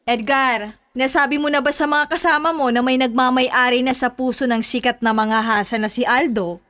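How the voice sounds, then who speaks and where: High-voiced, protective young wife played by a woman. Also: steady tone, moderate pace, fairly bright tone. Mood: firm, polite. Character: Ditas, possessive, protective young wife of hero. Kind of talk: Tagalog horror audio drama acting.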